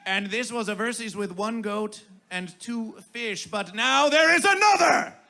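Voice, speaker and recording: A very high-pitched, piercingly bright male festival emcee proclaims everything in a grandiose, chanting way. His delivery is very slow and drawn out, theatrical and repetitive, on a live stage PA with music behind. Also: expressive, creaky. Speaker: festival master of ceremonies.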